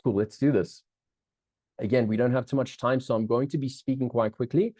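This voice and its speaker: A middle-aged man with a South African accent talks through a live online masterclass, upbeat and chatty, looping back on his phrases and turning to the audience often. His voice is warm and mellow, sitting at an average pitch. The sound is dry and close, with no room echo. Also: steady tone, fairly quick. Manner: upbeat, chatty, many restarts, keeps checking in with the audience. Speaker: business coach hosting a live webinar.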